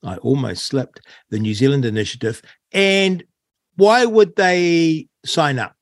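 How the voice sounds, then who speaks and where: Male host, interviewer, slightly high voice, curious questions, dry close sound. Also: animated, a little slow, no room sound.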